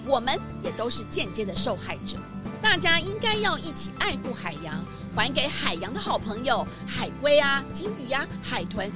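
A woman hosting and narrating a scripted children's programme, addressing young listeners like an expressive storyteller. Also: a little high. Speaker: narrator and host.

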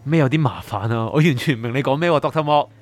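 An actor playing an anxious, sleepless writer seeking help in a radio drama, pleading and doubting in Hong Kong Cantonese. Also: male voice, mid-range voice, fast, natural voice. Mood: doubtful. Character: troubled, sleepless male writer seeking his doctor's help. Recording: music underneath.

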